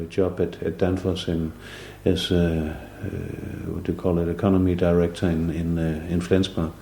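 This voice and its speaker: A man's voice: sits low, mellow. Hesitant older Danish man, echoey room. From southern Jutland, Denmark. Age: 60s. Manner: hesitant, many fillers and restarts. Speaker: artist interviewee. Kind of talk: relaxed conversational interview.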